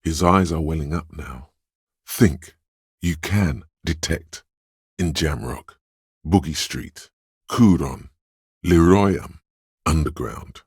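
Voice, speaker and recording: A man voicing a watchful, detached inner sense of perception in a game, describing details in a slow, measured way with a deep, husky voice. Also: darkish tone, no echo, clean studio sound, exaggerated voice. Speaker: voice actor for a game character. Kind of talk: scripted game voice lines.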